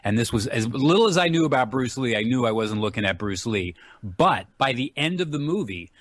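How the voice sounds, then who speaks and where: Male interview guest, medium pitch, chatty and keen, informal storytelling, very dry acoustic. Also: expressive, brisk, no echo. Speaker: guest, film writer and enthusiast. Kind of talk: casual interview chat.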